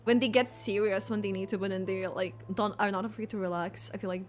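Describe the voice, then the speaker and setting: A woman giving casual, excitable, rambling reaction commentary while playing a video game. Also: medium pitch, quick pace, slight background noise.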